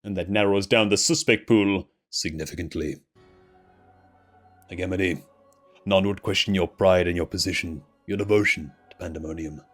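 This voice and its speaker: A man voicing a stern, commanding immortal ancient from a fantasy game in formal, solemn, measured declarations. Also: deep voice, a bit bright. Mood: accusing. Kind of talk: dramatic reading of fantasy game dialogue. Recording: music under the voice.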